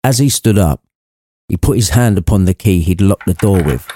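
A man's voice: sits low, animated, normal speed, dark tone, husky, dry recording, clean studio sound. A man reading an irreverent storybook narration, cheeky and conversational. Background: background music.

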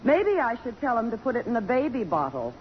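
A sarcastic female secretary, animated intonation, dry wisecracks, old radio drama, muffled broadcast. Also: on the high side, a little fast, a little hiss or hum. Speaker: radio actress. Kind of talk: 1950s radio drama acting.

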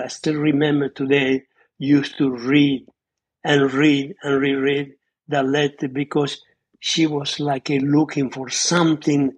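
An older man in his eighties with an Italian accent, a fairly high voice for a man, speaking English as a second language. He tells his story earnestly and haltingly, pausing and restarting as he reaches for the right words. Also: slightly rough. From Italy. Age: 80s. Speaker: guest, retired surgeon and author. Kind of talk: reminiscing interview storytelling.

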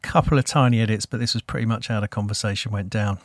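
A man hosting a podcast, talking in a relaxed, rambling way with many fillers. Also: lowish voice, fast, no echo, studio quality. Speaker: podcast host. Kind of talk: casual interview chat.

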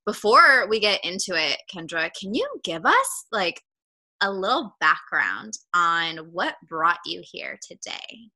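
This is a young woman hosting a casual podcast, stuffed up and slightly nasal from a cold. She talks in a bubbly, gushing way and laughs easily. Her voice sits a little on the low side. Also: big pitch swings, a little slow, pushed and bright, rough recording. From California. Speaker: podcast host. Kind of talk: upbeat podcast intro.

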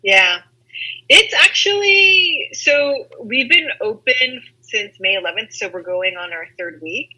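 A woman from Seattle talks as a guest on a video call, her voice sharp, very bright and husky. She speaks relaxed and quick, with fillers, and the call audio sounds phone-like. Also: a little high. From Seattle, USA. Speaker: company founder, guest. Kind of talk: casual video-call interview.

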